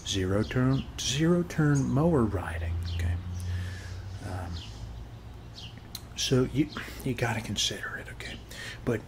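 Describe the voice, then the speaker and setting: A man's voice: mid-range voice, a little mellow, a little hiss or hum, lightly acted. A man playing a friendly, chatty suburban neighbor in a casual ASMR roleplay, rambling reassuringly in a folksy way and tagging phrases with short check-in questions. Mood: friendly, encouraging. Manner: folksy, reassuring, repeats "okay?" as a tag. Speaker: ASMR performer.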